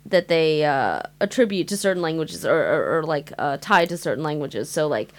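A young woman raised in the United States, whose first language is Mandarin, chatting casually as a podcast co-host, animated, laughing often and swearing freely. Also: deep voice, moderate pace. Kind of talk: casual chat.

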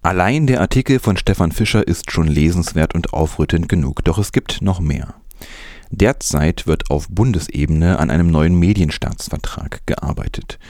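Deep-voiced man presenting news, mellow. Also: dry recording, studio quality. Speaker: podcast news host. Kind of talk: scripted news presentation with commentary.

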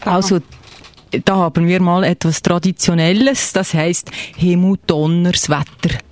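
A chummy Swiss teacher, played by an actor in a playful radio play. The medium-pitched voice has a Swiss German accent and is recorded close and dry. The delivery is encouraging, full of little check-in questions. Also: steady tone, measured pace, no echo, lightly acted. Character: chummy Swiss language teacher drilling greetings and curses. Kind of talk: live comic radio play, playful lesson.

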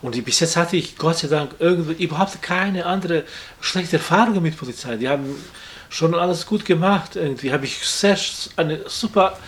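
A halting man who keeps restarting his words. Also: a little high. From outside Germany. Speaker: interviewee, acquaintance of the victim. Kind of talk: hesitant conversational interview.

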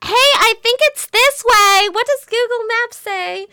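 Woman doing a shrill, gushing American tourist. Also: unhurried, pushed and bright, heavily acted. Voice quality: high, squealing, drawn out. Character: loud chattering young American tourist, woman.

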